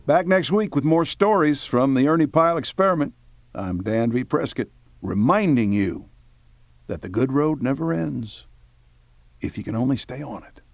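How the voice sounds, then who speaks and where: A man's voice: mid-range voice, animated, normal speed, creaky, no echo, faint music behind. A man announcing a radio series in a scripted sign-off style.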